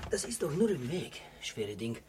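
An actor playing a patient, polite sanatorium attendant who coaxes solicitously in a radio drama. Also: male voice, fairly high pitch, very animated, moderate pace, darkish tone, a little hiss or hum. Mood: coaxing.

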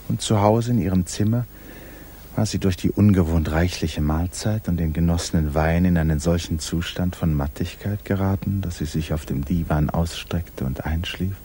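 A man narrating a 1950s German literary radio drama with measured, intimate storytelling. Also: sits low, dark tone, breathy edge. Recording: hissy 1950s radio recording.

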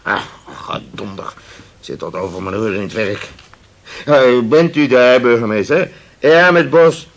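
A harried, henpecked middle-aged businessman in a radio play. He is gruff and grumpy, with a medium-pitched voice that jumps about expressively. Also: animated, measured pace, muffled recording. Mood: irritated, harried. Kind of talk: theatrical radio drama acting.

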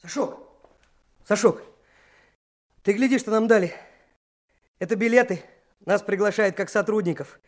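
An actor playing a bold, cheeky young trainee detective in a radio drama, speaking in an excited, urging manner. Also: male voice, sits high, measured pace, long pauses. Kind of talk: Soviet radio play acting.

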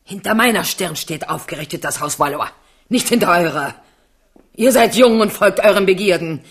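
A woman's voice: lowish voice, expressive, moderate pace, slightly rough. An older actress playing a scheming, imperious old queen mother in a German radio drama. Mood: cold, commanding. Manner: imperious, calculating.

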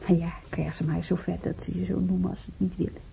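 An actress playing a young nurse in a radio play, brisk and a little tart but also confiding. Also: female voice, lowish voice, level tone, vocal fry, dark tone. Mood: soft confiding. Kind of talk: Dutch radio play acting. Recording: dull, noisy radio drama recording.

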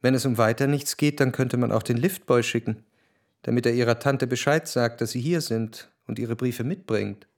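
A man's voice: medium pitch, moderate pace, close to an everyday voice. An actor in a radio drama playing a nervous, brooding, insistent young man. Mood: persuasive. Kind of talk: German radio drama acting. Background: faint music.

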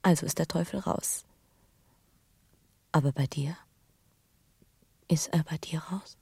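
An actress playing an earnest, intimate young pregnant woman in a German radio drama, speaking in breathy, confiding, deliberate phrases. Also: low voice, a little mellow, no echo, exaggerated voice. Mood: hushed confession. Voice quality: breathy, often sinking to a whisper.

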